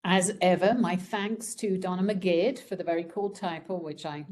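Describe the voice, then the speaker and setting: A woman with a croaky voice from a respiratory virus, giving an informal slide presentation to students on a video call in a conversational, frank way. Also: lowish voice, steady tone, normal speed, a little mellow, a little hiss or hum. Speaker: program director presenting a webinar.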